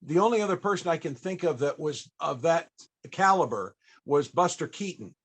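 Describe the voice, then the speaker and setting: A man with a slightly high voice interviews a guest on a panel. He talks conversationally and encouragingly, often doubling his phrases for emphasis. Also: expressive, moderate pace, fairly bright tone, slightly rough.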